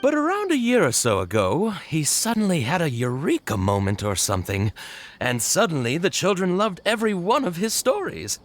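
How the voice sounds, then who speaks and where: A man's voice: a little high, wildly swooping pitch, moderate pace. A man voicing a flustered, pleading toy shop worker in an anime video game, speaking hesitantly. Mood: explaining.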